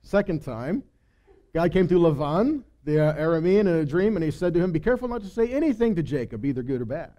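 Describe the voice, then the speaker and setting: A man's voice: a little high, lively intonation, fast talker, mellow, dull audio. A man giving an informal Bible study, retelling stories conversationally, acting out the dialogue and often checking in with a rhetorical "right?". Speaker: Bible teacher leading a weekly Torah study. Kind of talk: informal sermon, Bible teaching with acted-out paraphrase.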